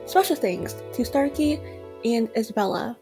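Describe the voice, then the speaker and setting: A woman's voice: a little high, lively intonation, normal speed. A young woman reading scripted narration as the student narrator of an audio drama. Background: music behind the voice.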